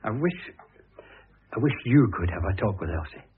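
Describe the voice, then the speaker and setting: An actor playing a proud, aggrieved older husband in a radio drama, speaking formally with some hesitation. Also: male voice, lowish voice, sing-song, normal speed. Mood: rueful. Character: proud, aggrieved older husband seeking comfort from his ex-wife. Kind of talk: old radio drama acting. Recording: tinny, noisy old radio recording.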